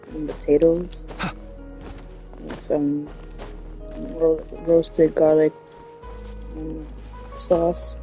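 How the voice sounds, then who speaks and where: Slow, rambling man with a mellow voice. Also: fairly high pitch, creaky, rough recording. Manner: rambling, hesitant. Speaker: game streamer. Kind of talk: casual livestream chat.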